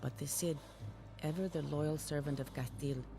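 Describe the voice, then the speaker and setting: A narrator telling a historical tale for a video game in a formal, measured, dramatic storytelling manner. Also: mid-range voice, fairly even intonation, music in the background, close to an everyday voice.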